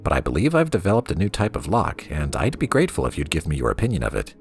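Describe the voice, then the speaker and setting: A man playing a quiet, studious young woman lockpicking student in a storybook reading, calm, polite and measured. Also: extremely low pitch, faint music behind, exaggerated voice.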